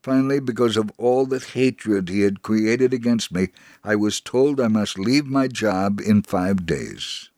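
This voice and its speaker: A man giving a scripted dramatic reading of a first-person story in a measured, earnest way. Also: lowish voice, a little mellow, no echo, studio quality. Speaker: dramatic reader of a testimony.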